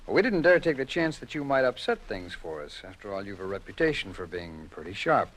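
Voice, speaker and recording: Low-voiced formal American federal agent. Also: male voice, very animated, fairly bright tone, rough recording. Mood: measured, dry. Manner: formal, deliberate, discreet pauses. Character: measured, courteous male federal agent explaining a secret operation. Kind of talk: 1950s radio drama acting.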